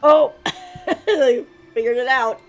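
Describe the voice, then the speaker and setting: A woman's voice: high-pitched, slow, soft background music. A woman streamer giving casual commentary while playing a game, giggly and playful, mimicking the characters.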